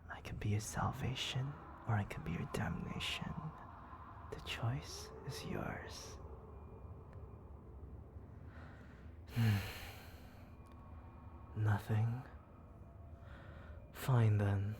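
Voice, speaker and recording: A man voicing a menacing, teasing demon lord in an ASMR roleplay, breathy and often dropping to a whisper, condescending and coaxing toward a captive. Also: lowish voice, fairly even intonation. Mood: cool, coaxing. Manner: slow, taunting, condescending, coaxing.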